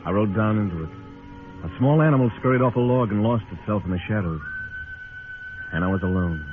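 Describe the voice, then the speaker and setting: A male actor plays a hard-boiled American lawman in a radio western. His voice is low and his words are spare and steady. The old recording is tinny and narrow, with music underneath. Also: a little fast, close to an everyday voice. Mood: grave, reflective. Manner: laconic, hard-boiled delivery. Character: stern, steady frontier marshal telling his own story. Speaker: narrating lead actor. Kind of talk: old-time radio western acting with first-person narration.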